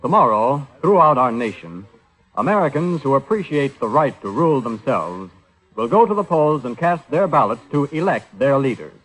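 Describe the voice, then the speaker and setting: A man's voice: medium pitch, fairly bright tone, poor audio. A man announcing and narrating in formal, declamatory 1950s radio style. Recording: old radio recording, music behind.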